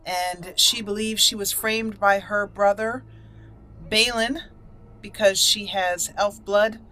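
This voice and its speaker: A woman's voice: mid-range voice, fairly even intonation, takes it slowly, bright, forceful tone, soft background music, poor audio. A woman hosting a gaming channel, giving let's play commentary with roleplay as she plays.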